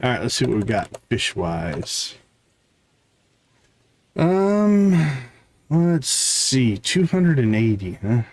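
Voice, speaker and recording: A man's voice: medium pitch, sing-song, slow pace, long pauses, darkish tone, dry recording. A man giving casual live game commentary as a streamer, thinking aloud and chatting to viewers.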